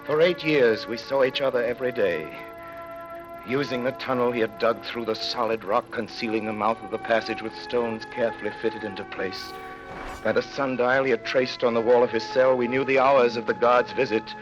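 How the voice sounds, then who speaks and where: A man's voice: medium pitch, fairly even intonation, normal speed, music in the background, lightly acted. An actor playing an anguished prisoner who recounts his years in a dungeon, grave and intense, in classic radio drama style. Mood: intense, solemn. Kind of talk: classic radio drama acting, dramatic first-person narration. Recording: noisy old radio recording.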